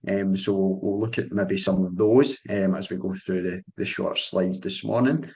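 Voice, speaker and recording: A man's voice: low-pitched, fairly even intonation, normal speed, gravelly, mellow. A young Scottish man, an account manager, presenting a sales webinar over a call in a friendly, loose style with frequent ums and restarts. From Scotland.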